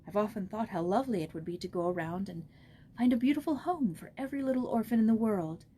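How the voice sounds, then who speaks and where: An earnest, tender-hearted young girl , voiced by a female storybook reader, talks soberly and sincerely. The tone is mellow, with some background noise on the recording. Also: medium pitch, fast, lightly acted. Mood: sober, thoughtful. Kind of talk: storybook character voice.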